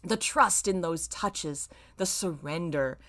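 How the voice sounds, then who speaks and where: A woman's voice: on the low side, takes it slowly, a bit bright. A woman hosting an online reading of fan fiction, narrating dramatically and breaking off with casual, self-mocking comments. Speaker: YouTube host reading fan fiction aloud.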